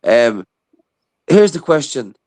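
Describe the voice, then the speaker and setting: A man's voice: medium pitch, big pitch swings, takes it slowly, a little mellow, no room sound. A man chatting casually on a livestream, blunt and sweary in the way he talks.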